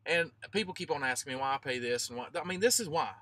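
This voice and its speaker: A man's voice: fairly high pitch, animated, fast talker, a bit bright, slight background noise. A man in his thirties talking informally to vlog viewers, explaining emphatically and repeating himself to drive points home. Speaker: trucker vlogger.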